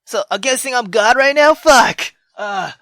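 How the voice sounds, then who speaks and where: A young man playing a visual novel on camera, reacting loudly and excitably with lots of swearing. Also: extremely high pitch, unhurried, no echo. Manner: loud, excitable, heavy swearing. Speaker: gaming YouTuber. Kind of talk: let's-play commentary.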